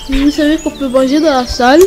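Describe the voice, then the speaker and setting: A woman voicing a polite, studious young schoolgirl in a children's animated film, with earnest, recited delivery. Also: sits high, a little fast, soft background music, lightly acted.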